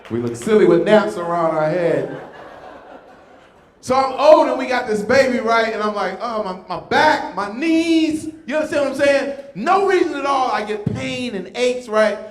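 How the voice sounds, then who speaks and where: A man's voice: extremely high pitch, normal speed, roomy sound. A man in his fifties performing a stand-up comedy set, telling stories and acting out voices. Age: 50s. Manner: animated storytelling, acting out voices. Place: comedy club stage with live audience.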